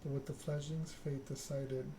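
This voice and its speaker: Man reading as stern, imperious vampire ruler, evenly measured. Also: medium pitch, level tone, background noise, natural voice. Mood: commanding. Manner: formal, imperious, deliberate. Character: stern, formal vampire prince holding court, a woman.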